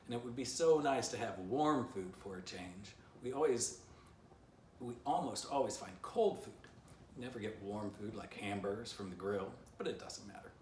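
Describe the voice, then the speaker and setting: A man's voice: fairly low pitch, normal speed, a little mellow, close to an everyday voice. Crafty male dog leader for a storybook, read by a man, declamatory, persuasive; echoey, off-mic recording. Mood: slyly defiant. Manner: declamatory, slyly insistent. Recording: roomy, distant mic, faint background noise.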